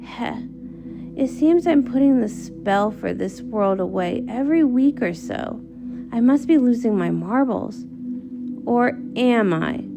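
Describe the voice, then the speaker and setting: A woman's voice: fairly high pitch, lively intonation, slow pace, creaky, heavily acted. A woman voicing an elderly, scholarly male wizard for a comic read-along, muttering in old-fashioned turns of phrase over music. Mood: muttering, puzzled. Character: elderly male wizard, scholarly, eager, absent-minded, stubborn. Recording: music under the voice.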